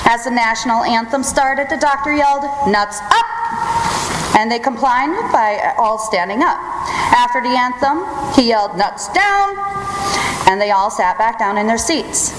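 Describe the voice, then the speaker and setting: A woman hosts a live old-time radio show with deliberate, theatrical delivery. Her voice is high and carries through a reverberant hall. The live stage recording has clear background noise. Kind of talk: scripted comic announcing and joke telling.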